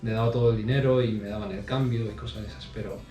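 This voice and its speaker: A man with a mellow, dark tone talks through his answers, pausing often and restarting his sentences. His voice has almost no rise and fall. He sits back from the microphone in an echoey room with faint music underneath. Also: fairly low pitch, fairly even intonation, recorded from a distance. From Spain. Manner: hesitant, many fillers and restarts. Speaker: interview guest. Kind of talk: casual interview answers. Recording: roomy sound from off mic.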